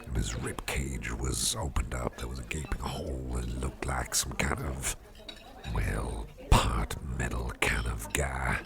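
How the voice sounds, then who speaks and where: A man playing a friendly, affectionate office boss in an ASMR roleplay, murmuring in a breathy half-whisper and rambling gently as he repeats himself. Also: a bass voice, fairly even intonation, a little slow, exaggerated voice. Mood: confiding, puzzled. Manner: hesitant, repetitive, gently rambling. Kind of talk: ASMR roleplay, intimate personal attention. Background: music.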